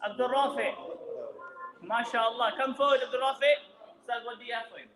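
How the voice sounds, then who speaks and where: A man with a notably high voice announces prizes to children, projecting loudly so the whole room can hear. His voice carries through an echoey mosque hall. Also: steady tone, fairly quick. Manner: loud, projecting over a crowd.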